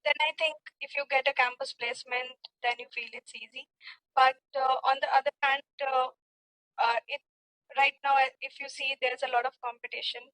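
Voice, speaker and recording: A young woman with an Indian accent answering English speaking test questions in a hesitant way, often pausing mid-phrase. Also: high-pitched, flat delivery, bright, forceful tone, no echo, low-quality recording. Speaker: student in a spoken English practice test.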